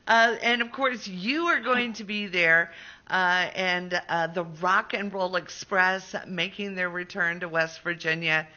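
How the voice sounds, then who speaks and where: A woman's voice: lowish voice, steady tone, measured pace, sharp tone, highs cut off. A middle-aged woman hosting a radio show, chatting casually as she promotes an event in an interview. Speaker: radio host.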